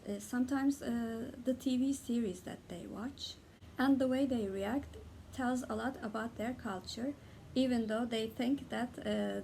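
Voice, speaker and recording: A young Turkish woman with a Turkish accent, talking casually as a book vlogger and pausing often with "uh" between words. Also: a little high, creaky, dark tone, slight background noise. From Turkey. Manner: hesitant, many "uh" pauses between words. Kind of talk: casual tag video talk.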